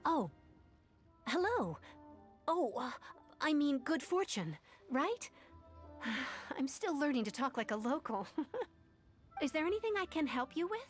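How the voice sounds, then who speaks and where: Earnest young refugee, high and lilting. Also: female voice, very animated, takes it slowly, long pauses, exaggerated voice. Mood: flustered, friendly. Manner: earnest, expository, carefully articulated. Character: earnest young female refugee from a closed island nation. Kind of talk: video game voice acting. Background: game music.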